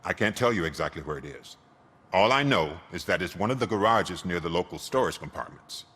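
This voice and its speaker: Raspy, grumbling garage owner. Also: male voice, low voice, expressive, a little fast, sharp tone, full character voice. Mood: resigned, confiding. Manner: wry, defensive. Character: grumbling, shifty male car repair shop owner. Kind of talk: video game cutscene acting. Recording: long hall-like reverb.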